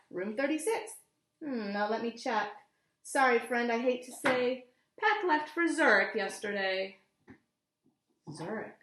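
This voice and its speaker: Animated high-voiced woman reading aloud. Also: roomy sound. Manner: instructive, explains places simply to children; expressive, deliberate storytelling. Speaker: teacher reading aloud to children. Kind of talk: picture book read-aloud with asides.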